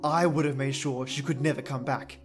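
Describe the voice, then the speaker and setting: A man's voice: mid-range voice, steady tone, a little fast, close to an everyday voice. A man playing an agitated, bitter adult son in an audio drama, speaking emphatically. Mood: angry, bitter. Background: background music.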